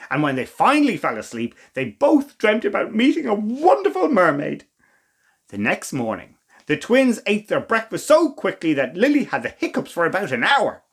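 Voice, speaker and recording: Guy doing storytime for kids, super expressive, voice goes up and down loads, bit theatrical. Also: high-pitched. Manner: expressive, theatrical emphasis with asides to listeners. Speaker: storytime reader. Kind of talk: animated children's story reading.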